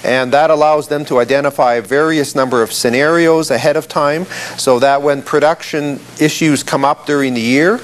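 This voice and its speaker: A man's voice: fairly high pitch, fairly even intonation, normal speed, hardly any pauses. A man, a farm management expert, answering an interview question with many fillers and restarts.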